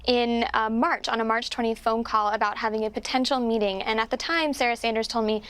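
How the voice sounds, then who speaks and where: A woman reporter giving live news analysis in an explanatory, measured way with long, detailed sentences. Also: a little high, steady tone, fairly bright tone, clean tone. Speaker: political reporter interviewed as a news commentator.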